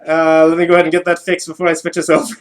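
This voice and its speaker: A man hosting a livestream in casual chat, halting and often repeating his words. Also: a little high, monotone. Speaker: livestream host.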